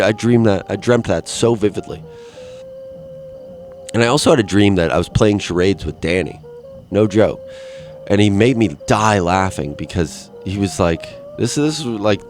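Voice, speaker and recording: Young guy rambling solo, stuffed up nose, kinda low voice, faint music behind. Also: darkish tone. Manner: rambling, crude, self-deprecating, many restarts. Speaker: podcast host.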